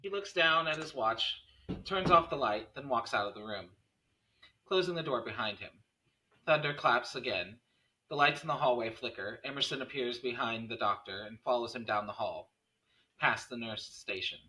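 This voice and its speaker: A man's voice: medium pitch, normal speed, pushed and bright, low-quality recording. A man reading screenplay stage directions as the narrator of a table read.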